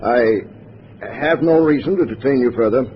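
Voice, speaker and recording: A man playing a stern, formal immigration officer in a 1950s radio drama, speaking in a measured way. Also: medium pitch, close to an everyday voice. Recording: dull, faintly noisy old radio recording.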